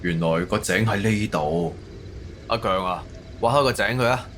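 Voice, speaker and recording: A man playing a firm, persuasive police captain in an audio drama, speaking in a measured, official way. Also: on the low side, a bit bright, slight background noise, natural voice. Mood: commanding. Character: authoritative middle-aged male police captain, firm and persuasive. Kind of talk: Cantonese audio drama acting.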